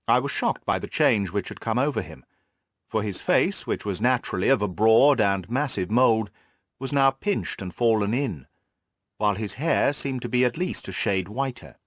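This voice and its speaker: A man's voice: lowish voice, lively intonation, normal speed, a bit bright, no echo, clean studio sound. A man reading classic detective fiction aloud as scripted audiobook narration.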